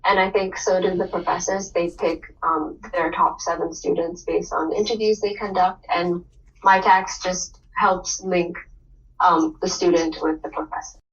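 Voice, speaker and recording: A woman's voice: fairly low pitch, fairly even intonation, a little slow. A young woman from Hong Kong, a university student and research assistant, chatting upbeat about her program. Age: young adult. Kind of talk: casual self-introduction. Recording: distant, dull online call audio.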